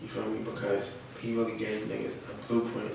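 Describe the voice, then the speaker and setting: Low gravelly man, echoey room. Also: monotone, a little fast. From North Carolina, United States. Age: young adult. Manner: slangy, often checks with "you feel me". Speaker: rapper being interviewed. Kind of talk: casual podcast interview. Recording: echoey room, distant mic, noisy.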